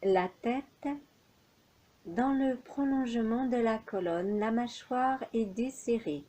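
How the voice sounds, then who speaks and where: A woman with a fairly high, soft-edged and mellow voice guides a relaxation meditation. She speaks extremely slowly, in short measured instructions separated by pauses, as a yoga nidra teacher does. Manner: unhurried, measured phrases with pauses.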